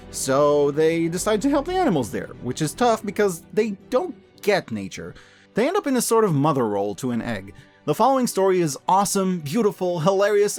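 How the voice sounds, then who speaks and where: A man narrating a scripted, opinionated review in an emphatic, conversational way with deliberate dramatic stresses. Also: fairly high pitch. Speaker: host of a year-end media review.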